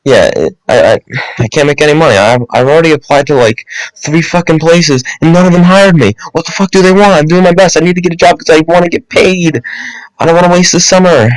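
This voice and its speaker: A man's voice: on the high side, quick pace, no room sound, poor audio. A man on a comic complaining rant, exasperated, stammering and swearing.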